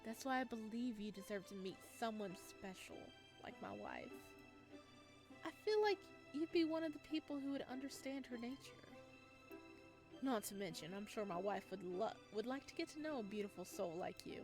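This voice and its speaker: A woman voicing a gentle, courteous male florist with a soft, polite manner, over faint music. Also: on the high side, expressive, moderate pace, natural voice. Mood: persuasive.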